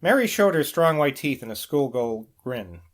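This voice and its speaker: A man reads a literary novel aloud in the manner of a homemade audiobook. His voice sits at an ordinary mid pitch, and he delivers long scripted sentences with an occasional small stumble. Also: big pitch swings, normal speed. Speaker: audiobook reader.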